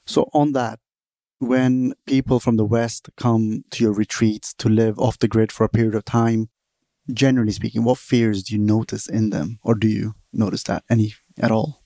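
A man's voice: lowish voice, level tone, normal speed, warm tone, dry recording. A man hosting a podcast, earnestly recapping his guest's journey before asking questions. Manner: earnest, recounts the guest's story at length. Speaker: podcast host. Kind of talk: interview questions.

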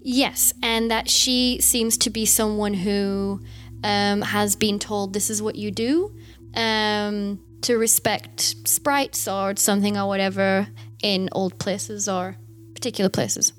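A woman's voice: medium pitch, fairly even intonation, takes it slowly. A woman running a tabletop game as game master, explaining rules casually with many hesitations.

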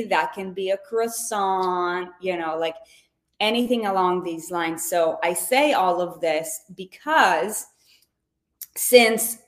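Chatty woman from Israel, lots of fillers. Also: mid-range voice. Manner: chatty, many fillers and restarts. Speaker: nutrition coach. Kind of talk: casual livestream talk.

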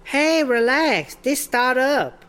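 A woman's voice: sits high, big pitch swings, slow, a bit bright, clean tone, soft background music, full character voice. A woman playing a breezy, bossy startup boss in a comedy audio drama, speaking clipped broken English. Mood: breezy, reassuring. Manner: clipped broken English, dropped verbs and articles.

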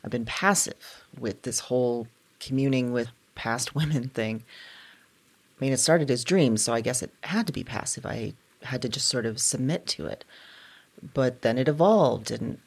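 A woman performing a first-person audio drama monologue, hesitant and halting, often dropping into whispers. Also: rumbling low, husky. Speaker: audio drama narrator and lead.

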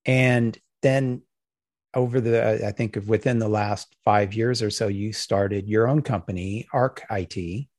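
A man's voice: lowish voice, fairly even intonation, a little mellow, no room sound. Man hosting a podcast, dry sound. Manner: measured, asks structured questions. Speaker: podcast host.

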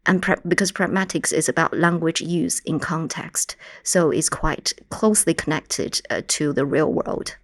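A woman with a Mandarin Chinese accent, a native Mandarin speaker from China, explaining her work in a measured way as a podcast guest. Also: low voice, dry recording, clean studio sound. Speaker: guest linguistics researcher. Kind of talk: podcast interview.

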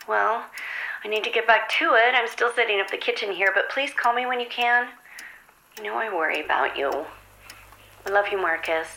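A woman's voice: fairly low pitch, vocal fry, sharp tone, slight background noise, rough recording. An actress playing a doting, worrying middle-aged mother leaving an affectionate, chatty, fussing voicemail in an audio drama.

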